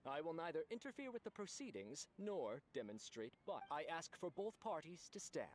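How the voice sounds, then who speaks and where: A solemn scholar presiding over a formal contest in a video game. His delivery is courteous and ceremonious, in a highish voice with wide pitch movement and faint noise behind it. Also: sing-song, normal speed. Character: solemn, courteous male scholar serving as debate adjudicator.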